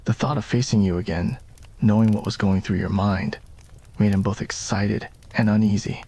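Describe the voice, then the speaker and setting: A man with a fairly low voice narrates a romantic story in ASMR style. The delivery is scripted storytelling, read as a roleplay. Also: steady tone, moderate pace, a little mellow, slightly rough.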